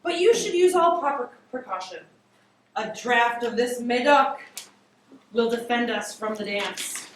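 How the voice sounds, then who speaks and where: A woman narrating classic fiction in an amateur dramatic reading, theatrical, with drawn-out emphasis. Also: fairly high pitch, pushed and bright. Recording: very echoey room, distant mic, faint hiss.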